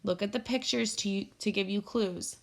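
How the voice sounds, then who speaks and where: A woman with a medium-pitched voice reads a storybook aloud for children. She is expressive and speaks as a teacher does to her pupils. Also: moderate pace.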